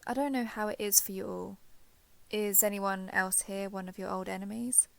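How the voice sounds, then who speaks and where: A woman's voice: mid-range voice, steady tone, vocal fry, dry recording, close to an everyday voice. A woman playing a shy, guarded villain turned reluctant ally in a roleplay audio drama, speaking hesitantly and with reserve. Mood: subdued, resigned. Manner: hesitant, halting, reserved, understated. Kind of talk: roleplay audio drama, intimate one-sided dialogue.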